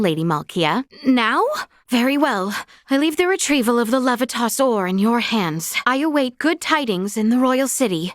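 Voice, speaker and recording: A woman's voice: high-pitched, no room sound. A woman playing a young princess in a video game, speaking in a formal, courtly and earnest way, recorded dry in a studio. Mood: gracious, formal. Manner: formal, courtly, archaic phrasing. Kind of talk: scripted video game voice acting.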